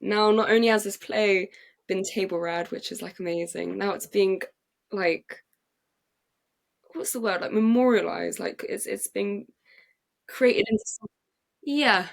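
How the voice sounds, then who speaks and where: A young English woman, a playwright and actress, telling her story in an excited, chatty way with many fillers and restarts. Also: mid-range voice, a little slow, vocal fry, pauses often. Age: young adult. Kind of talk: casual interview storytelling. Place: podcast recording.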